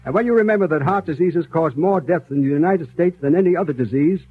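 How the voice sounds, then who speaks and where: An actor playing a knowledgeable, enthusiastic guide who explains with assurance in scripted 1930s educational radio style. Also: male voice, a little high, quick pace, lightly acted. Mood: informative. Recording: tinny, noisy 1930s radio recording.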